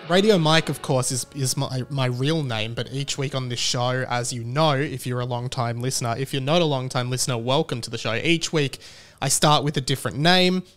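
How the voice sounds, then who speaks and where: A man's voice: mid-range voice, moderate pace, a bit bright, soft background music. Casual man hosting a podcast. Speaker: podcast host. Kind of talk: casual solo podcast chat.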